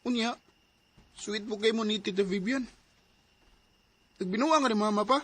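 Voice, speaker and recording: In this audio drama, a young boy with a bright, high-pitched voice keeps asking plaintive questions, as a man voices him. He sounds like a worried son who misses his mother. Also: moderate pace. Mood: confused. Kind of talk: Cebuano audio drama acting.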